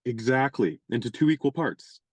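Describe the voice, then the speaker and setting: A man playing a wordy, courtly young gentleman. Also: medium pitch, animated, normal speed, dry recording, close to an everyday voice. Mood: persuasive. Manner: courtly, elaborate, playfully pompous phrasing. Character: genial young gentleman adventurer, chivalrous and wordy. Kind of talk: radio play acting.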